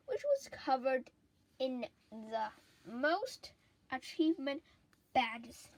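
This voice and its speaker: A woman reading a children's story aloud with a deliberate, expressive delivery. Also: high-pitched, poor audio.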